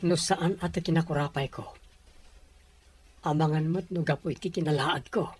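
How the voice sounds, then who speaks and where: A Filipino man playing a humble, sincere young suitor in an Ilocano radio drama, earnest and respectful, with a husky voice. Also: a little high, steady tone, normal speed, slight background noise, close to an everyday voice. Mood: hurt, determined.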